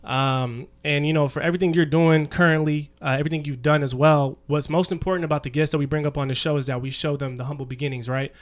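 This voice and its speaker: Male podcast host, quick and smooth, slightly high voice, hype and casual. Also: fairly even intonation. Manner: upbeat, hype, lots of "man" and "shout out". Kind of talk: casual interview chat.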